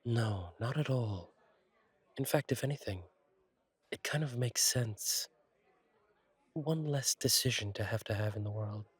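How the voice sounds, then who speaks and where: A man playing a friendly, attentive fallen-angel bartender in an intimate roleplay audio, reassuring and chatty, with gentle wry humour and a husky voice. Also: mid-range voice, a little slow, pauses often, no room sound. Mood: welcoming, reassuring. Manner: reassuring, chatty, wryly playful, slightly formal wording. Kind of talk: intimate one-sided roleplay audio acting.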